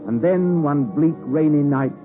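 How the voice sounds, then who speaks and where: An actor playing an earnest, proper young gentleman in a 1950s radio drama, speaking formally and dramatically. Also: a little high, unhurried, over music, close to an everyday voice. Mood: brooding. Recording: muffled narrowband 1950s radio broadcast recording.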